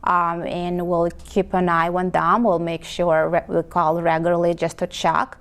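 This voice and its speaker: A woman from Moldova whose first language is Romanian, answering interview questions about her home care company, pausing often with ums and restarts. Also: fairly low pitch, flat delivery. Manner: hesitant, many ums and restarts.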